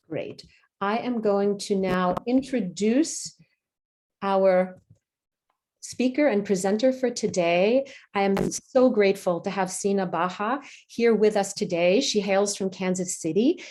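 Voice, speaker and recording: A woman's voice: fairly low pitch, a little mellow. A woman hosting a webcast over a video call, introducing the speaker with frequent fillers. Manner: hesitant, many fillers. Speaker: host.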